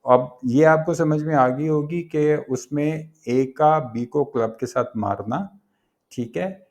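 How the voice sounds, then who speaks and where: A man's voice: fairly high pitch, slow, a little mellow. A man with a Pakistani accent giving an exam-prep law lecture, explaining step by step and reading out English phrases.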